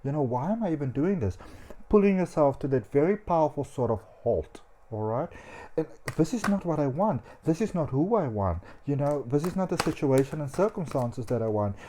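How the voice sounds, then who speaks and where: A man talks through a tarot reading in a chatty, animated way, sprinkling in "um" and "you know." His voice is mellow and warm. Faint hiss sits underneath. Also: fairly high pitch, moderate pace. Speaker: psychic tarot reader.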